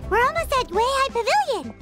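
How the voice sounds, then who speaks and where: A woman voicing a tiny, chatty, excitable female fairy guide in a video game, with a squeaky childlike voice. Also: brisk, soft background music, heavily acted. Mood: cheerful. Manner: chatty, excitable, refers to herself in third person. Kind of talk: animated video game voice acting.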